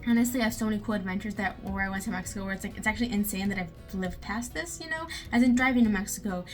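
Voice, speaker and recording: Young woman, casual how-to vlogger, rapid and filler-heavy, mid pitch. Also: faint music behind. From United States. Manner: chatty, lots of fillers like "you know" and "um".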